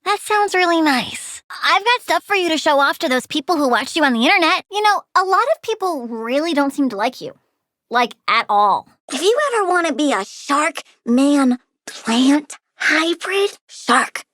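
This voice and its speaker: A woman's voice: sits high, expressive, normal speed, fairly bright tone, dry recording, studio quality. A woman voicing a young girl plant villainess in a video game, childlike, blunt and pouty, with a volatile, morbidly cheerful temper. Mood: spiteful. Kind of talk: video game character voice lines.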